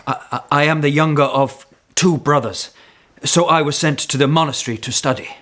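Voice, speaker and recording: An actor playing a weary captive young knight in a historical radio drama, halting, guarded and terse. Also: male voice, a little high, fairly even intonation, a bit bright, lightly acted.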